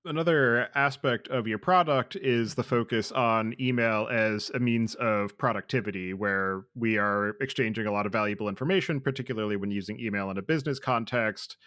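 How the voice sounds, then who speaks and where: Smooth, dry-sounding male podcast host. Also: on the low side, a bit bright, dry recording. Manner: measured, articulate, long structured questions. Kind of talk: interview questions.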